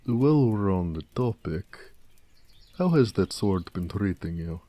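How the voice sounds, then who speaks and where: A man playing a proud, gentle orc blacksmith in an intimate, affectionate audio roleplay, speaking tenderly and modestly. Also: lively intonation, a little slow. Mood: fond, curious. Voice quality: deep, mellow.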